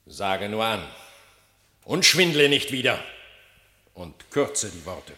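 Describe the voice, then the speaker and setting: A man's voice: lowish voice, very animated, slow pace, pushed and bright, echoey room, exaggerated voice. An actor playing a stern, imperious animal king who sits in judgment, declaiming commandingly in a radio drama. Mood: impatient. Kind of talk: classic German radio drama verse acting.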